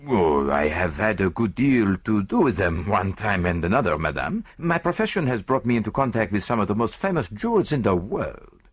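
A man's voice: lowish voice, sing-song, normal speed, slightly rough. A man voicing an old Belgian detective with a French-speaking accent and a courteous, quaintly formal manner. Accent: French-speaking foreign. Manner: formal, quaint phrasing with small murmured asides.

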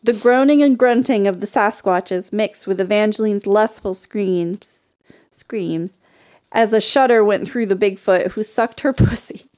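A woman's voice: medium pitch, unhurried, a little mellow. A woman co-hosting a podcast, reading a racy story aloud and breaking off for giggly, profane banter. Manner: giggly, profane, reads then riffs. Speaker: podcast co-host reading stories.

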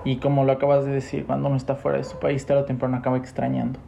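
Emphatic male podcast host, mellow voice. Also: medium pitch, completely flat delivery, rapid-fire, creaky, slight background noise. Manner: emphatic, addresses listeners directly. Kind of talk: podcast talk and commentary.

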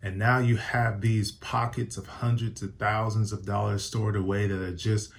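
Male host, fairly low voice, casual and emphatic, prone to restarts. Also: level tone, normal speed, gravelly. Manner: upbeat, emphatic, repeats and corrects himself. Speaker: personal finance show host. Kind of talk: casual advice talk to camera.

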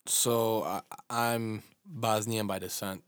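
A man's voice: fairly low pitch, steady tone, slow pace, clear, resonant tone, dry recording, studio quality. A man from Chicago with an American accent, chatting casually and joking, with lots of "like" as filler. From Chicago, USA. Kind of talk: casual chat.

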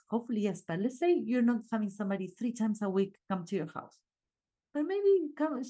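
Lady podcast host, spanish speaker, just chatting casually, animated storyteller giving life advice. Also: mid-range voice. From Argentina.

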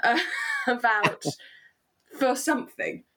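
A woman co-hosting a relaxed discussion podcast, chatty and given to acting out quotes as she talks. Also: fairly high pitch, takes it slowly, a loud crowd. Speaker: podcast co-host. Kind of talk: relaxed discussion of a radio drama.

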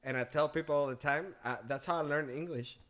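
A man's voice: on the high side, fairly quick, fairly bright tone. Man, podcast guest; earnest, reflective, restarts often. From Venezuela. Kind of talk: casual chat.

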